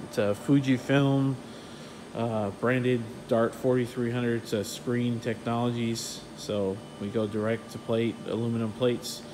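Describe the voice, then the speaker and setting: Man, casual walking tour, flat even delivery, phone camera audio, steady air-conditioning hum behind. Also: mid-range voice, unhurried. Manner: relaxed, technical, many fillers. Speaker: print shop owner giving a tour. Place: print shop offices and warehouse, indoors. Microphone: handheld phone camera, filming while walking.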